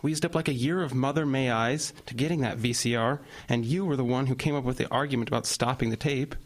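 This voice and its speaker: An actor playing a sulky teenage older brother. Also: male voice, medium pitch, quick pace, lightly acted. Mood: bickering. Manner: sulky, teasing. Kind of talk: audio drama acting.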